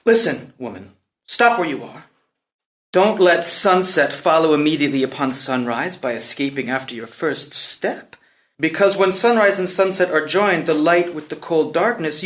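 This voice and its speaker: A man's voice: a little high, expressive, normal speed, close to an everyday voice. A man playing a proud, impetuous young prince in a dramatic play reading, declaiming emphatically and floridly. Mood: enraptured, wooing.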